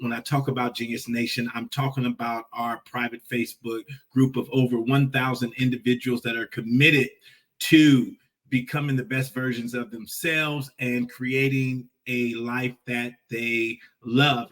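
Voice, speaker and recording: A man in his forties speaks at a middle pitch, hosting a motivational talk. He repeats his key phrases and keeps checking in with rhetorical questions. His voice sounds slightly distant from the microphone. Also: fairly bright tone. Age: 40s. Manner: repetitive, emphatic, with rhetorical "right?" tags. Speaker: host.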